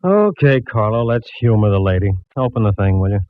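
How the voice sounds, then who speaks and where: A man's voice: on the low side, big pitch swings, a little fast. An actor playing a wry male police lieutenant in a 1950s radio drama, speaking in a dry, laconic way. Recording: dull, noisy old radio broadcast.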